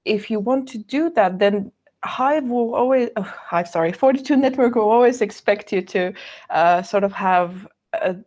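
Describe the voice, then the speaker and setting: A woman from Bulgaria, a student leader, answering questions in an online townhall in a measured, thoughtful way. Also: mid-range voice, darkish tone. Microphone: video call. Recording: muffled video call audio.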